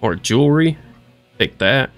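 A man in his thirties gives laid-back, unhurried commentary while he plays a game. He mutters to himself and drifts into silly little voices, over faint game music at his home desk setup. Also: medium pitch, lively intonation, creaky, a bit bright. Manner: goofy, talks to himself, playful silly voices. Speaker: let's play streamer. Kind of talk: casual gameplay commentary.

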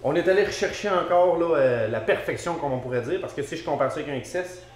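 A man in his thirties with a Québécois French accent, a dealership specialist explaining a snowmobile quickly and confidently in a metal-walled workshop. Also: fairly high pitch, expressive. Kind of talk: technical explanation in interview. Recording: echoey workshop.

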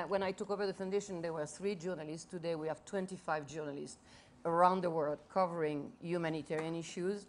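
A woman in her fifties from France with a French accent, speaking earnestly on a panel under a large tent, often restarting and repeating her words. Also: low voice. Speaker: foundation head on a panel. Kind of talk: panel talk to a live audience. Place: large festival tent with audience.

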